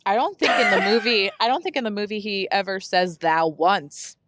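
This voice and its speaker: A woman's voice: medium pitch, normal speed, a bit bright, dry recording. A woman podcast co-host talking in a casual, comedic chat among friends.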